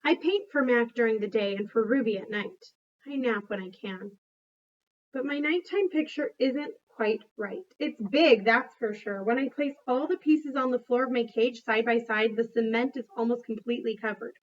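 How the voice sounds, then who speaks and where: Storybook gorilla narrator woman reader: gentle, measured, pensive, fairly high pitch, dull recording. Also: close to an everyday voice. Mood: reflective. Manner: plain, measured, reflective. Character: thoughtful, gentle male gorilla narrator and artist.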